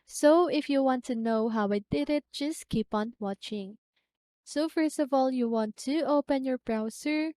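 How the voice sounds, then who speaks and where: A woman presenting a step-by-step software tutorial in an instructional manner, often checking in with a short question. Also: high voice, a little slow, no room sound.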